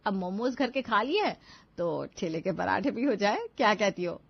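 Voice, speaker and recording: A woman's voice: mid-range voice, animated, moderate pace, creaky, husky. A woman playing a crafty, coaxing elder daughter-in-law and young mother in a cartoon. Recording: dull lo-fi audio.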